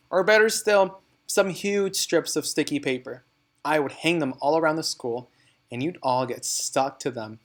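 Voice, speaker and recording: A tyrannical storybook headmistress acted out by a man, bellowing and contemptuous of children. The delivery is pompous and barking, pushed higher and louder than plain narration, with heavy emphasis on the insults. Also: natural voice.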